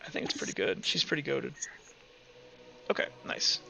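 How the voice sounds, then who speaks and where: A man's voice: medium pitch, very animated, normal speed, gravelly, poor audio. A man acting as game master, casually thinking aloud as he narrates a tabletop game and explains the rules. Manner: informal, conversational, thinking aloud. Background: soft music.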